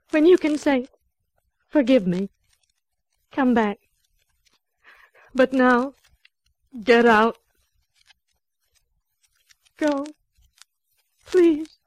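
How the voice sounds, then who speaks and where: A lovestruck, wordy woman of means in classic radio drama. Her voice sits fairly high and moves slowly and haltingly. She can be tender or sharply proud, always with theatrical feeling. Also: expressive, dry recording, full character voice, low-quality recording. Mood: indignant, hurt. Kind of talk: old-time radio drama acting.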